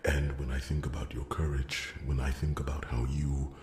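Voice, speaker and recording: Man playing a very deep, stammering, earnest dwarf. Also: flat delivery, normal speed, a little mellow, echoey room, slight background noise. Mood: earnest, reverent. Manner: stammering, repetitive, deferential, wordy. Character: rambling, earnest, wounded-pride dwarf smith loyal to royalty. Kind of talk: ASMR fantasy roleplay monologue.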